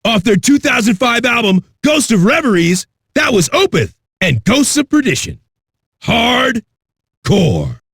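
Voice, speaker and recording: An actor playing a cocky, crude, manic metal radio DJ with a raspy, shouty delivery. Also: male voice, very high voice, huge pitch swings, unhurried, no room sound, studio quality, heavily acted. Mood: hyped, brash. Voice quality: raspy, gravelly. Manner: brash, profane, shouty. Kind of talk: hard rock radio DJ patter.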